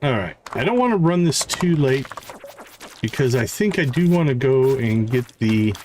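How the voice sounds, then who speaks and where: A man hosting a game livestream with casual, unhurried commentary, thinking aloud as he plays. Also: mid-range voice, very animated, a little mellow. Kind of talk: casual live gameplay commentary. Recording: faint background noise with clicks.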